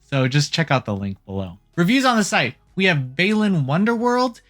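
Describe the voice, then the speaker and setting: Gaming news host, middle pitch, jokey n casual, tosses in asides, music behind. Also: very animated, moderate pace, fairly bright tone. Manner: irreverent, jokey, swears casually. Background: background music.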